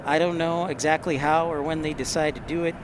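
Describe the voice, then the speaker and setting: A man from Texas hosting a casual livestream, chatting and reading out messages with frequent halting pauses. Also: a little high, steady tone. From Texas, United States. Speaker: livestream host. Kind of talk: casual live commentary, reading and answering chat. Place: outdoors near an airport. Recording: loud outdoor vehicle and ambient noise.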